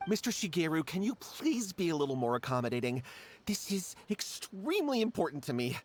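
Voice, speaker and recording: A man's voice: a little high, very animated, brisk. A man playing an earnest, jumpy, stubbornly hopeful struggling writer in a video game, fumbling and pleading. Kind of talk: animated game dialogue.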